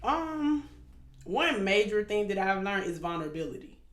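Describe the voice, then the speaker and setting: A woman's voice: medium pitch, normal speed. Frank woman in her thirties, echoey room. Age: 30s. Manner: frank, animated, laughs easily. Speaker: podcast guest. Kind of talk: casual chat.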